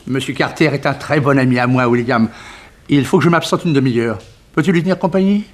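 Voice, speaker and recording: A man's voice: mid-range voice, brisk, close to an everyday voice. A man playing a worried, polite father in a 1960s French radio drama, on a noisy old recording. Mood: courteous. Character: worried, courteous father of a threatened child.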